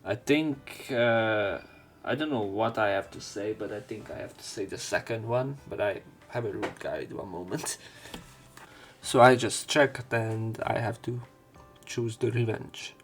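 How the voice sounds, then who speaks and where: Man, fairly low voice, let's play narration, casual commentary, occasional stumbles, clear game music behind. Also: unhurried. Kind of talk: reading game text aloud with casual commentary.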